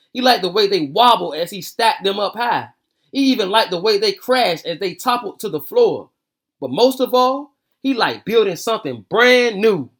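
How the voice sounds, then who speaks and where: A man's voice: high-pitched, a bit bright, roomy sound, recorded from a distance. An American man hosting bedtime stories for children, reading aloud and chatting in casual, slangy speech. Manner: informal slangy talk. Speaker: children's bedtime story host and read-aloud reader. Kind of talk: casual storytelling and chat aimed at kids.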